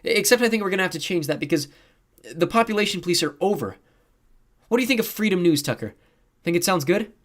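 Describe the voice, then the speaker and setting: A man voicing an excitable, chatty teenage girl who is playing at being a television reporter in a story. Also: high-pitched, quick pace, pitched above the performer's own voice. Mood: excited, unruffled.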